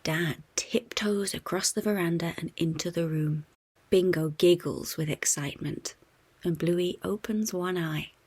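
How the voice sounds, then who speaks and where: A woman reading a children's storybook aloud in an expressive scripted narration for young listeners. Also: on the low side, unhurried, slightly rough.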